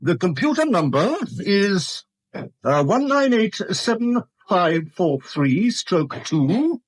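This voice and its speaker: An actor playing a fussy, exasperated middle-aged English assistant bank manager in a 1970s radio drama, husky, officious and snappish. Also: male voice, high-pitched, very animated, slow, heavily acted, rough recording. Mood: exasperated, indignant.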